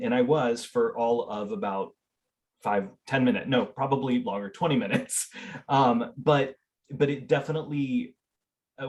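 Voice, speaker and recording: A middle-aged man who grew up speaking Spanish, telling stories in a casual interview with many fillers and restarts. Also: medium pitch. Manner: earnest storytelling with fillers and restarts. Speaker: interview guest.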